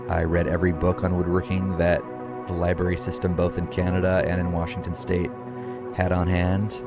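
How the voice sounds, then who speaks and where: Documentary subject: thirties man, deep even voice, reflective and unhurried, music under. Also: vocal fry. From United States. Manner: soft-spoken, reflective, unhurried. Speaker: furniture maker. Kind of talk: documentary interview.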